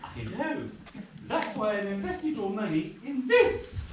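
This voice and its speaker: A woman's voice: mid-range voice, big pitch swings, unhurried, over loud music. A woman playing a brash, bossy pantomime dame mother, with broad theatrical comic delivery on a live stage. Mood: proud, bossy. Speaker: pantomime performer. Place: echoing hall stage with live audience. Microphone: far camera microphone. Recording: echoey hall, distant mic, loud audience noise.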